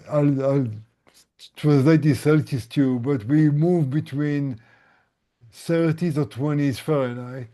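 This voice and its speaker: A man hosting a casual online group session as a coach, explaining things in a gentle manner. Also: medium pitch. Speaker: host and tinnitus relief coach.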